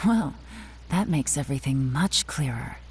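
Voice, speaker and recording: A woman voicing a composed, analytical investigator in a video game, speaking in a measured, deliberate way. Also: a bass voice, lively intonation, a little mellow, slightly rough, full character voice.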